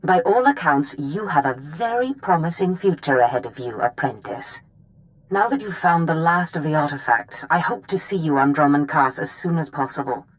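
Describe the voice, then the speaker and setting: Sith mentor, woman, low pitch, lively swoops, commanding and superior, game drama. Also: normal speed, poor audio. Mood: pleased, knowing. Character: commanding Sith master addressing her apprentice. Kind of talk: dramatic video game acting.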